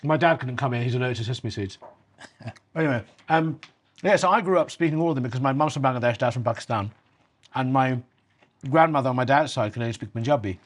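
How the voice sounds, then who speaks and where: A man in his thirties hosting a relaxed chat over a meal, talking in a reflective way. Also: medium pitch, fast. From England. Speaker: host.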